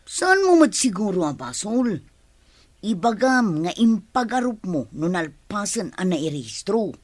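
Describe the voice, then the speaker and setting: A woman's voice: mid-range voice, very animated, a little slow, a little mellow, no room sound. A woman acting a scheming, greedy younger woman who coaxes, in a radio comedy drama.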